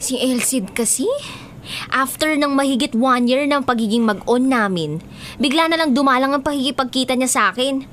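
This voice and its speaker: A woman's voice: high-pitched, normal speed, a bit bright, a little hiss or hum. A woman playing an earnest, hurt young girlfriend in a Filipino radio drama. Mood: worried. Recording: music bed under the voice.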